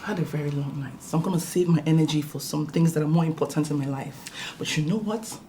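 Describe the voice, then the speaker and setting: A woman's voice: low voice, fast talker, background noise, lightly acted. An actress playing a sarcastic sister who lectures and mocks her brother's wife.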